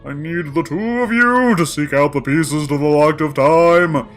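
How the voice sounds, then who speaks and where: Guy doing a portentous cosmic being, slow n dramatic, highish male voice, sci-fi audio play. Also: faint music behind, lightly acted. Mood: solemn, foreboding. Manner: portentous, theatrical, drawn-out phrases. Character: solemn male cosmic guardian, grandiose pan-dimensional being.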